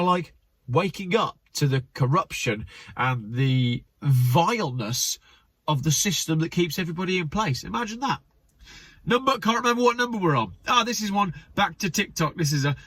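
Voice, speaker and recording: A man's voice: fairly high pitch, a bit bright, slightly rough, no echo. A man hosting his channel, reading memes aloud in casual commentary with wry, sarcastic asides and a slightly stuffy, nasal voice. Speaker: channel host.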